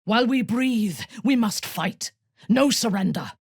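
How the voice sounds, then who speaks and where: A woman playing a ruthless, imperious female warrior commander in a fantasy video game, measured and haughty, with a husky, breathy voice and coldly seductive menace. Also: fairly high pitch, lively intonation, dry recording, clean studio sound, exaggerated voice. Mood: proud, resolute.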